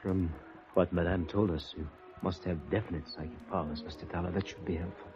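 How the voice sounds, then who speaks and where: An actor as very deep, solemn séance guest. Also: male voice, steady tone, a little fast, lightly acted. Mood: quiet, hopeful. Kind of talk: 1950s radio acting. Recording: dull old radio recording, faint music.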